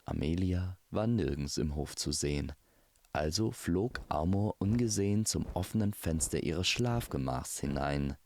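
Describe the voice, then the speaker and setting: A man's voice: deep voice, lively intonation, a little slow, darkish tone. A man narrating a scripted fantasy tale as a storyteller. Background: plucked guitar music.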